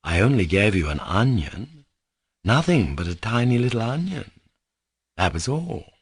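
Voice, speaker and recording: A man reading a novel aloud, voicing a gentle, kind young man with a tender, soft manner. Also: on the low side, very animated, unhurried, husky, heavily acted.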